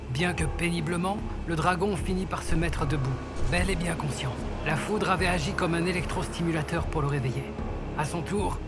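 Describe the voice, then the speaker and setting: A man reading scripted narration for a fantasy audio story. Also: a little high. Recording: loud music under the voice. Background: loud music.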